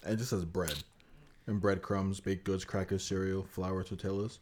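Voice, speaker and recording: A man with a very low, deep and sultry voice co-hosts a podcast. He talks in a relaxed, dry way, reeling off items one after another without fuss. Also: normal speed, slight background noise. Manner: laid-back, dry, reads items off in lists. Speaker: podcast producer and co-host. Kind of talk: casual chat.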